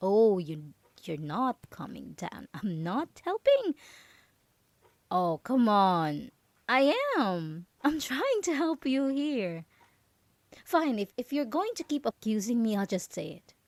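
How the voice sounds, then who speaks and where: A woman's voice: on the high side, very animated, takes it slowly, no echo, exaggerated voice. A woman playing a possessive, overprotective roommate in an ASMR roleplay, stammering nervously as she pleads and coaxes. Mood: defensive. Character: possessive, overprotective yandere roommate, clingy and manipulative.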